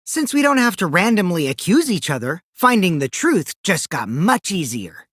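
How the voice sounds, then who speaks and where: A man's voice: sits high, very animated, normal speed, fairly bright tone, breathy edge, no echo, clean studio sound, exaggerated voice. An actor voicing a mischievous, taunting teenage boy who lies for fun in a video game, theatrical and teasing, swinging from sly to gleeful. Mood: smug, calculating. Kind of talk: animated video game voice acting.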